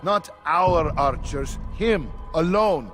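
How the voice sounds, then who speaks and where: A gruff, blunt video game guard. His voice is a little on the high side, with music clearly playing behind him. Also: expressive, slow, big-room sound. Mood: stern. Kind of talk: video game cutscene acting.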